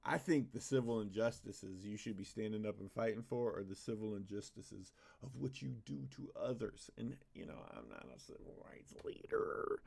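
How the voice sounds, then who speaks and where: A man's voice: lowish voice, normal speed, creaky, hardly any pauses, darkish tone, breathy edge. A young adult man hosting a reaction video, sarcastic and exasperated, swearing often. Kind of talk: casual reaction commentary.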